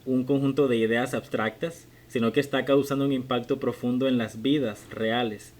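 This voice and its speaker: A man in his late twenties hosting a podcast interview, asking measured questions with occasional restarts. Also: mid-range voice, steady tone, a little mellow. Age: 20s. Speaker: podcast host. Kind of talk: conversational interview.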